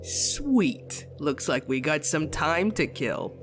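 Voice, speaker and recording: A man's voice: on the high side, sing-song, takes it slowly, a bit bright, faint music behind, full character voice. A man voicing a sardonic, menacing but laid-back male skeleton with a dry drawl in a comic dub. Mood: sly. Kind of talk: comic dub cartoon acting.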